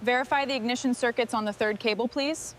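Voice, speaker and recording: A crew member speaks in short, businesslike phrases, reporting checks and steps. The pitch sits in a middle register. Faint workshop noise sits behind the voice. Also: fairly even intonation, quick pace, fairly bright tone. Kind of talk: short procedural work call-outs.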